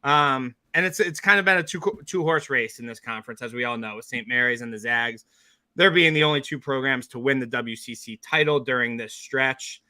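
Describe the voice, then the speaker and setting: A man co-hosting a sports betting podcast, energetic and chummy as he breaks down a college basketball matchup. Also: medium pitch, brisk. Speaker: podcast co-host, sports bettor.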